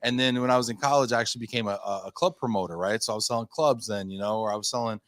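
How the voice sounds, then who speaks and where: Male guest, 30s, lower voice, chatty rambler, dry close recording. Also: rapid-fire, no room sound. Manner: chatty, self-deprecating, rambling storyteller. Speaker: guest, company founder. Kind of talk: casual interview talk.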